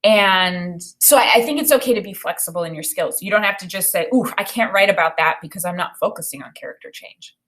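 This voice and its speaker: A woman in her thirties with an American accent, teaching through an expressive read-aloud with spoken commentary on the story. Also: lowish voice, brisk, few pauses. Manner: expressive reading with acted dialogue.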